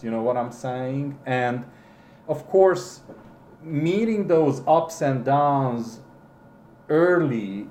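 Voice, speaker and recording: A middle-aged man who is not a native English speaker, with a foreign accent, recalling his past slowly and hesitantly, with many ums and restarts. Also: mid-range voice, warm tone, echoey room, slight background noise. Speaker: interviewee. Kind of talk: casual recollection.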